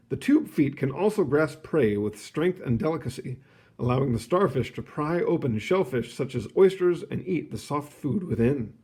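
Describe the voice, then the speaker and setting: A man reading a children's nonfiction book aloud, phrasing it clearly and adding short casual asides. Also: medium pitch, warm tone. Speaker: read-aloud host.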